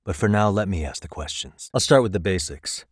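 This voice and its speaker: An actor playing a calm, wry private detective in his thirties in a video game, speaking in a measured, conversational way. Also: sits low, no echo, clean studio sound. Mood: measured, probing. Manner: measured, conversational, lightly sardonic. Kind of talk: naturalistic English video game voice acting.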